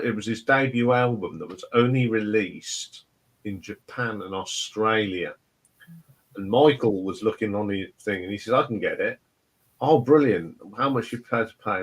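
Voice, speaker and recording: A man's voice: fairly low pitch, low-quality recording. A man chatting informally and telling anecdotes as a record collector. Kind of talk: casual chat with anecdotes.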